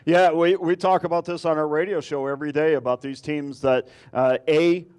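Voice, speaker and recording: A man who works as a radio sports analyst, giving measured casual betting analysis with many fillers. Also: fairly high pitch, fairly even intonation, a little mellow, clear, resonant tone.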